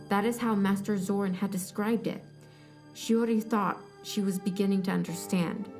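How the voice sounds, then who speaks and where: A woman's voice: mid-range voice, a little mellow. A woman reading scripted story narration as the narrator of an audio drama.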